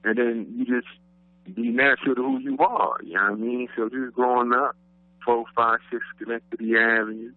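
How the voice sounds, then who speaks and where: A man's voice: mid-range voice, flat delivery, normal speed, no echo. A man from Brooklyn with a New York accent, a rapper talking casually about his roots in a laid-back way, full of "you know what I mean.". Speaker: guest rapper. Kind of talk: casual interview talk. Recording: dry, phone-like radio line.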